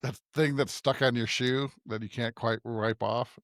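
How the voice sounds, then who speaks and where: Casual male podcaster, middle pitch, halting delivery with repeated words, dry close recording. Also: fairly even intonation, dry recording. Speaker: podcast host. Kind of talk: casual discussion.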